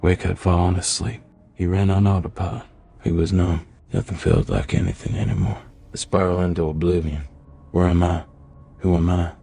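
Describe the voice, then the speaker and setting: Dark, ominous male narrator for a game: gravelly fry, muted tone, deliberate delivery. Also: sits low, little pitch movement, slight background noise.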